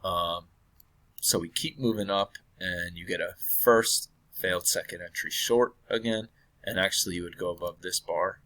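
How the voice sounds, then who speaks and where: Man, deep-voiced, hoarse from illness, casual thinking-aloud chart review with throat clearing. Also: moderate pace, bright, forceful tone. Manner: thinking aloud, hesitant with many fillers and self-corrections. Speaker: trading analyst.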